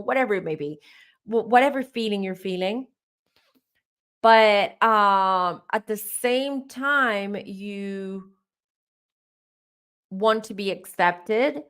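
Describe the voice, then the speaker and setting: A woman hosting a live-stream tarot Q&A, her voice starting to go hoarse, who rambles as she gives advice straight to her viewers. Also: medium pitch, fairly bright tone. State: voice going hoarse. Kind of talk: live-stream spiritual Q&A, answering chat questions.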